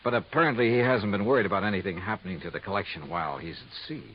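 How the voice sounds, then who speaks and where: An actor playing a brisk, skeptical male insurance investigator narrating and acting in a 1950s radio drama, clipped, wry and direct. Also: lowish voice, a little hiss or hum, close to an everyday voice. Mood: probing. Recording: muffled vintage radio recording with music.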